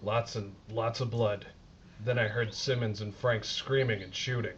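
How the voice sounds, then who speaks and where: A man's voice: lowish voice, steady tone, measured pace, close to an everyday voice. Man acting a earnest, battle-shaken army sergeant. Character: shaken but steadfast American army sergeant. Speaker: actor in an audio horror drama. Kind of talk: dramatic audio drama acting. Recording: muffled lo-fi audio with noise.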